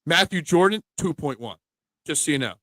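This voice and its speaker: A man's voice: on the high side, expressive, moderate pace, a bit bright, no room sound, low-quality recording. A man hosting a casual sports betting preview show, chatting with his co-hosts.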